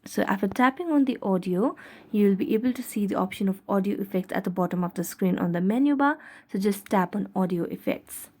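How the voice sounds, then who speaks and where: Woman narrating a tutorial, mid-pitched. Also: a little mellow. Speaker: tutorial host. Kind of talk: scripted step-by-step app tutorial narration.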